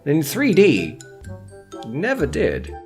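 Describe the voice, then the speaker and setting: Animated, theatrical man narrating over music. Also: fairly high pitch, slow pace. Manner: theatrical, wordy, sarcastic delivery. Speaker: video essay host. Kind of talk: scripted humorous video essay narration. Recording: music behind the voice. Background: background music.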